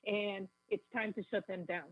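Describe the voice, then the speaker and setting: A woman calling in to a radio show, raspy from a bad cold, speaking emphatically and indignantly. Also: medium pitch, steady tone, moderate pace, no echo. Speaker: phone-in guest. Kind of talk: live radio call-in advocacy. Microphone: telephone. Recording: tinny, narrow phone line.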